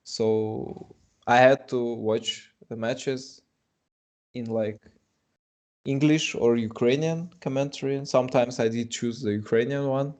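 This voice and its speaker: Mellow Russian-accented chess streamer. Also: male voice, mid-range voice, fairly even intonation, unhurried. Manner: chatty, hesitant, many fillers and restarts. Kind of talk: live chess commentary and chat reading.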